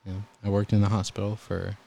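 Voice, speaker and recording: A young man telling EMT stories in a relaxed, calm voice during a casual chat. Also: fairly low pitch, fairly quick, vocal fry. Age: young adult. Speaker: guest, EMT volunteer.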